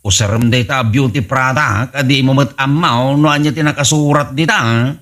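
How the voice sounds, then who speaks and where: A man's voice: mid-range voice, moderate pace, fairly bright tone, close to an everyday voice. A man playing an exasperated, incredulous husband in an Ilocano comedy drama, scolding and exclaiming with an Ilocano accent. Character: exasperated husband of a fussy returnee woman.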